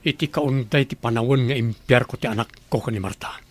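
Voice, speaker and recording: A man playing a concerned father and boss in a radio drama, earnest and deliberate, with an Ilocano accent. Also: mid-range voice, soft background music, close to an everyday voice. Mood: troubled.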